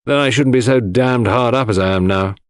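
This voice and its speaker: A man voicing a gloomy, curt English country gentleman in an audiobook, frank and grumbling in manner. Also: mid-range voice, brisk, clean tone, no echo, clean studio sound, lightly acted. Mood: gloomy, bitter. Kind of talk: audiobook character dialogue.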